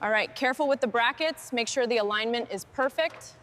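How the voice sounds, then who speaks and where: A woman's voice: fairly high pitch, fairly quick, fairly bright tone, slight background noise. A woman giving short work remarks as part of a truck restoration team.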